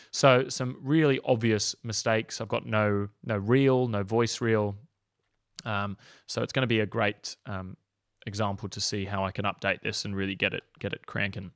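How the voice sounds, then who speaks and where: Man, 30s, casual coach read, lowish voice, dry close sound, loose with filler words. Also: a bit bright, no room sound. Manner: casual and upbeat, many ums. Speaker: acting coach. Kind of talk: online tutorial, screen walkthrough.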